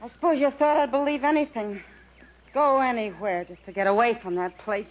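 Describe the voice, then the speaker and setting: Actress as a high-voiced, desperate, embittered woman. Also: a little fast, lightly acted. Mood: bitter reproach. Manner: melodramatic, pleading, accusing. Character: desperate, embittered woman, dependent lover stranded in the jungle. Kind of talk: 1950s radio drama acting. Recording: dull, noisy 1950s radio recording.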